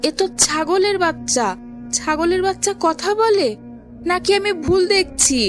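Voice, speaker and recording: A woman's voice: extremely high pitch, a little slow, faint music behind. A woman playing a curious, stubborn young boy in a children's cartoon, with an earnest, childlike manner. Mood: surprised.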